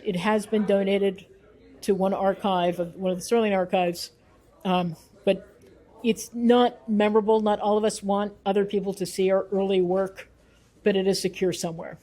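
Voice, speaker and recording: A woman's voice: mid-range voice, mellow. A woman speaking as an interview guest and researcher, earnest and emphatic, repeating phrases for weight. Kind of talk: thoughtful spoken interview.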